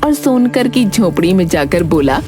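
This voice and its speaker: A woman's voice: fairly low pitch, sing-song, moderate pace. A woman narrating a scripted fairy tale as a storyteller. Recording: music behind the voice. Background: background music.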